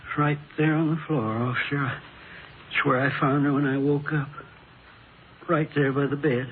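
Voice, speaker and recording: An actor playing a dazed, grieving elderly widower in a halting, plaintive way, in an old radio drama. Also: male voice, fairly high pitch, fairly even intonation, close to an everyday voice. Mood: subdued, plaintive. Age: older. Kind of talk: 1940s-50s radio drama acting. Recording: noisy, phone-like old radio recording.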